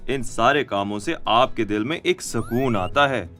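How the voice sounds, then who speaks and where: Animated actor as a kindly cartoon teacher man. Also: mid-range voice, unhurried, close to an everyday voice. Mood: gently instructive. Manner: animated, explanatory, addressing children directly. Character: kindly, encouraging man teaching young children. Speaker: teacher figure explaining religion to children. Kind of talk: children's educational cartoon dialogue. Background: music.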